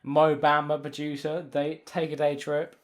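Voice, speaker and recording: A man's voice: a little high, completely flat delivery, measured pace. A young British man in casual podcast chat, rambling and swearing freely as he talks. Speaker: podcast co-host.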